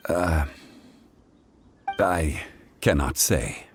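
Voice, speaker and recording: Very deep, solemn ancient god. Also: male voice, lively intonation, heavy background noise, exaggerated voice. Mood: calm, reserved. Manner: measured, formal, grave, reflective. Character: ancient, composed, solemn male god in human form. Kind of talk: scripted game cutscene dialogue. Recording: background music under the voice.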